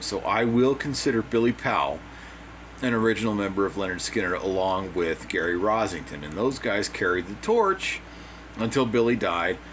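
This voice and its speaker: A man with a hoarse, dry voice giving a casual, opinionated monologue as a music commentator, plain-spoken and repeating his points. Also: on the low side, fairly bright tone. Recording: air conditioner hum behind.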